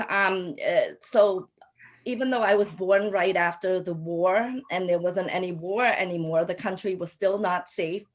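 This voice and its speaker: A woman in her forties from Cambodia, telling her personal story as a panelist in a halting way, often pausing and repeating phrases. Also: lowish voice. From Cambodia, raised in a Thai refugee camp and the US. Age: 40s. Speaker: panelist, local elected official.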